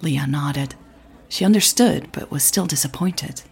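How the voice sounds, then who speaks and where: A woman with a husky, breathy, creaky voice narrating scripted audio fiction as a podcast host. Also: sits low, measured pace, a little mellow.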